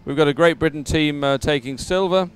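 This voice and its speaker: Clear-voiced man commentating live sport. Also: on the high side, fairly quick, poor audio.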